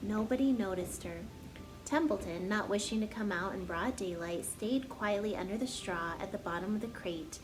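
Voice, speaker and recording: A woman's voice: mid-range voice, moderate pace, over music, poor audio. Woman reading a children's story aloud. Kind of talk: expressive children's story narration.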